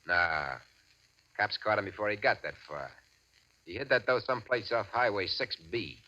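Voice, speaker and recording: Brash, punchy gangster boss. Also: male voice, on the low side, normal speed, bright, forceful tone. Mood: cold, scheming. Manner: brash, commanding, glib. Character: slick, bossy gangster nightclub owner, falsely jovial. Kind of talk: 1940s radio drama acting. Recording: dull, noisy vintage radio recording.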